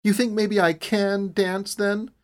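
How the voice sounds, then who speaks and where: A man's voice: high voice, little pitch movement, slow, pitched above the performer's own voice. A man voicing a stubborn, defiant young woman in a storybook, challenging and intense. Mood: hopeful, hungry.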